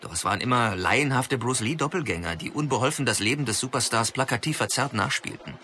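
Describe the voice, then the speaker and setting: A man's voice: mid-range voice, lively intonation, quick pace, bright, forceful tone, a little hiss or hum. A male actor playing a scornful, emphatic film commentator in a scripted radio documentary.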